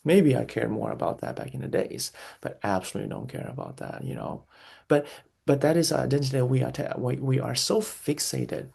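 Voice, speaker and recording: Man, heavy vocal fry, breathy husky tone, reflective interview guest, repeats phrases, restarts sentences. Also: mid-range voice, animated, a little fast, a little mellow. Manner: earnest, repeats key phrases, frequent restarts.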